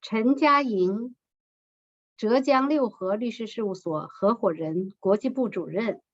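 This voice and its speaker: A woman co-announcing award winners at a ceremony, reading each name and firm in a measured, ceremonial way. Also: fairly high pitch, a little hiss or hum. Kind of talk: formal announcing of names and titles. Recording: slightly muffled lo-fi sound.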